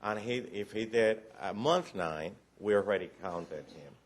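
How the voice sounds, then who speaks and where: A man's voice: fairly low pitch, a little slow. A man with a Cuban accent briefing county commissioners on recidivism data, explaining patiently with frequent restarts and light joking. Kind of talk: formal briefing. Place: county commission meeting room.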